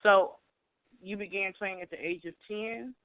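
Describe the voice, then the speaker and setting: A woman's voice: mid-range voice, steady tone, normal speed. A woman hosting an interview podcast, enthusiastic and often restarting her questions as she goes. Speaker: podcast host. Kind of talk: casual interview. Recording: tinny phone line, dry.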